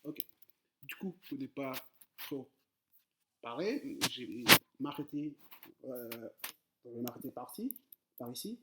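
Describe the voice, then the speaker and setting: A man explaining code to his viewers in French, which is not his first language. He hesitates often, repeats words and fills pauses. His pitch sits in a middling range, and his way of talking stays informal and direct. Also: lively intonation, heavy background noise. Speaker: coding tutor. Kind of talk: casual screen-recorded coding tutorial.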